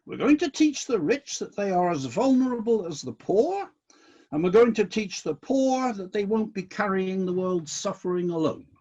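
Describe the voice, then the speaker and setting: A man acting in a radio play as God, a disillusioned but decisive ruler of heaven, with indignant questions and deliberate commands. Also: sits high, big pitch swings, mellow, highs cut off. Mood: firm, hopeful.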